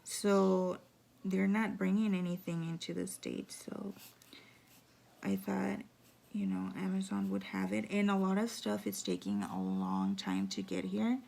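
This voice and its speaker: A woman with a hoarse, raspy voice giving a casual at-home nail how-to, chatty with lots of fillers. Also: medium pitch, lively intonation, a little slow, warm tone, a little hiss or hum. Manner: chatty, filler-heavy, drops into whispers. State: hoarse from talking. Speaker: nail tutorial vlogger.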